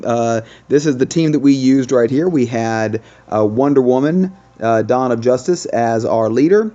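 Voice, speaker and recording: A man's voice: medium pitch, warm tone, lo-fi sound. A man doing casual, explanatory game commentary as the host of a gaming video.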